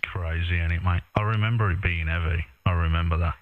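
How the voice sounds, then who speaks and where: A man's voice: low voice, fairly even intonation, a little fast. A British man chatting casually in a matey, colloquial way as an amateur radio operator. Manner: matey, colloquial, tag questions. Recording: echoey, narrow-band ham radio transmission.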